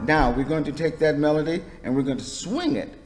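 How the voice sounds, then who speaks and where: An encouraging man gives a friendly singing tutorial for children. His voice sits a little high, and music plays clearly behind him. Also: fairly quick. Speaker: music teacher. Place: stage with curtain, indoors.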